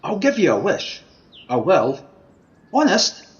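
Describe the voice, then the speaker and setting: A man's voice: high-pitched, huge pitch swings, takes it slowly, heavily acted. A man with a Scottish accent playing an insistent, cajoling wish granter disguised as a scruffy tramp in an amateur radio play, theatrical in manner. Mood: earnest, aggrieved. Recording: dull remote home recording.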